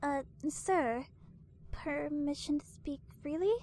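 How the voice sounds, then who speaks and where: High-voiced, earnest woman as a young lieutenant. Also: slow, dark tone. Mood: concerned, careful. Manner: deferential but frank, careful. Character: earnest, probing young female military lieutenant. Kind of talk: anime parody voice acting.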